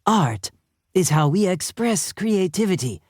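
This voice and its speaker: A woman's voice: on the low side, big pitch swings, unhurried, a little mellow, breathy edge, no room sound, studio quality, exaggerated voice. A woman voicing a pompous art history professor who lectures grandly in a children's audiobook. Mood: droning lecture.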